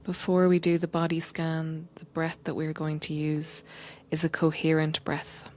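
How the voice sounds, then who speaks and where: A woman guiding a meditation in a gentle, unhurried, soothing way, often softening toward a breathy whisper. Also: deep voice, monotone, a little hiss or hum. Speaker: meditation guide and coach, podcast host. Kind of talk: guided meditation, soothing slow instruction.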